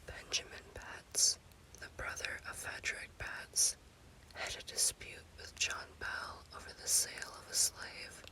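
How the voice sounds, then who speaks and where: A woman narrates a scary story as ASMR in an unvoiced, breathy whisper, in deliberate short phrases. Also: sharp tone, poor audio. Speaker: storyteller, host.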